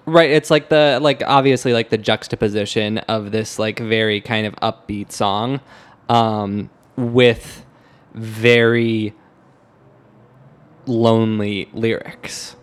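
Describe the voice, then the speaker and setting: A man co-hosting a casual music discussion, speaking in hesitant, halting phrases with repeated words. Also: on the low side, fairly even intonation, clean tone. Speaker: podcast co-host.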